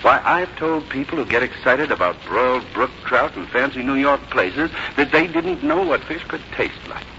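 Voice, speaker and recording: A man's voice: mid-range voice, sing-song, moderate pace, creaky, few pauses, pushed and bright, exaggerated voice. An actor playing a middle-aged American businessman son, hearty, reassuring and coaxing, in a 1950s radio drama. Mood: hearty, affectionate. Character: cheerful, placating grown son, busy city businessman. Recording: muffled 1951 radio recording with hiss.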